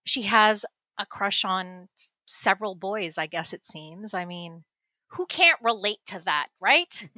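A woman talks through a movie she watched with her daughter, relaxed and chatty, restarting her sentences and laughing along the way. Her voice is bright and forward. The sound is dry and close, with no room around it. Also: mid-range voice, unhurried, dry recording. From United States. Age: adult parent. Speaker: movie review guest. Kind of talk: casual movie chat.

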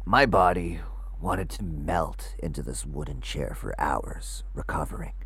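A deep-voiced, troubled ghost investigator. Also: male voice, fairly bright tone, husky, full character voice. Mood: brooding, uneasy. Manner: halting, hesitant, uneasy. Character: anxious, troubled male ghost investigator and podcaster. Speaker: narrator. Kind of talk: confessional first-person audio drama narration and dialogue.

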